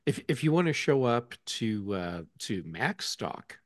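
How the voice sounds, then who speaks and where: A man's voice: mid-range voice, very animated, unhurried, no echo, clean studio sound. A man hosting a casual tech podcast panel, easygoing and moving the talk along.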